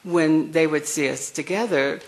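An older woman with a soft voice speaks on a panel at an unhurried, deliberate pace. Her fairly low voice has a gravelly edge, and she talks frankly with wry asides. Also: poor audio. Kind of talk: panel discussion.

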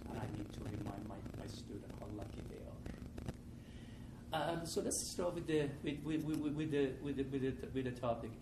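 Older Iranian-accented man in an echoey room. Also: medium pitch. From Iran. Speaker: economics professor and panelist. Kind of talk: informal opening remarks to an audience. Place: live panel event in a room with an audience. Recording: echoey noisy room, distant mic.

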